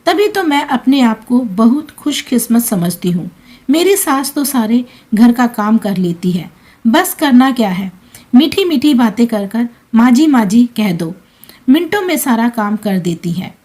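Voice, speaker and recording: A woman's voice: fairly high pitch, very animated, unhurried, warm tone. A woman voicing a self-centred working daughter-in-law in a family story, breezy and entitled in manner. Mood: smug.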